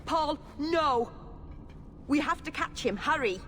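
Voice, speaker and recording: Earnest, higher-voiced young elf. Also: female voice, animated, slow, sharp tone, exaggerated voice. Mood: worried, urgent. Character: earnest young female elf mage, gentle but stubborn. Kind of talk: fantasy video game voice acting.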